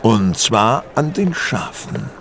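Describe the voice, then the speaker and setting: A man playing a shrewd, self-assured detective who explains things deliberately in a German audio drama. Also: lowish voice, big pitch swings, a bit bright, slight background noise, heavily acted. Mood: assured, playful.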